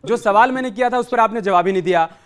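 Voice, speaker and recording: High-voiced man anchoring a TV debate. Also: fast, fairly bright tone, smooth. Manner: presses guests for answers, interjects. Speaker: news anchor moderating a debate. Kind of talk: TV news debate moderation.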